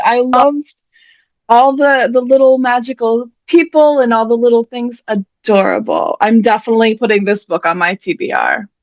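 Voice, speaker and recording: A woman hosting an online reading event, chatty and playful, sometimes mock-dramatic. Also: fairly high pitch. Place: indoors, on a video call.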